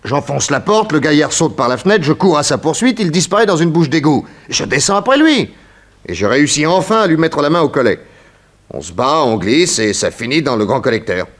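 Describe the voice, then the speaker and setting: A man's voice: a little high, very animated, fairly quick, fairly bright tone. An actor playing a brash, cheeky male detective with a breezy colloquial delivery in a 1960s French radio serial. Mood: breezy, insistent. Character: brash detective hero, late groom, cheeky.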